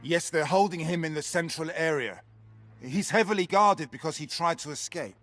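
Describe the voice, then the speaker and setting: A man's voice: on the high side, moderate pace, fairly bright tone, faint music behind, lightly acted. A man playing an anxious rescued fighter in a fantasy video game. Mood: anxious, amazed. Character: anxious male fighter among rescued captives. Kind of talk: video game dialogue acting.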